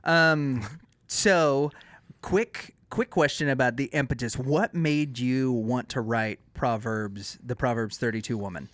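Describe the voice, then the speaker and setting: A man's voice: medium pitch, slow pace, a bit bright, no echo. Easygoing man hosting, dry sound. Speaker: podcast co-host. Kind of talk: casual podcast chat.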